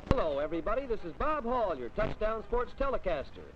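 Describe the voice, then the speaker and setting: Man, middle-aged, American accent, high voice, formal vintage sports announcer, muffled newsreel sound. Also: very animated, brisk, heavy background noise. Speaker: sports telecaster, show host. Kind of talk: 1950s newsreel scripted narration. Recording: dull vintage film soundtrack, music behind.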